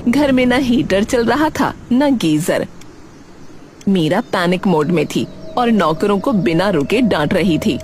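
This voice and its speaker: A woman's voice: mid-range voice, animated, takes it slowly, a little mellow, faint music behind. A woman reading scripted narration for a cartoon family story, as the narrator.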